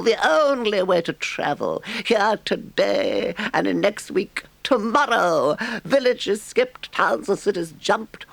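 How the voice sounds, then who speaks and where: A man's voice: very high voice, vocal fry, fairly bright tone, no room sound, voice pushed up, full character voice. A man voicing a vain, rapturous male toad who talks in a dreamy, swooning way. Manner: dreamy, swooning, drawn-out exclamations. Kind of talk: storybook character voice.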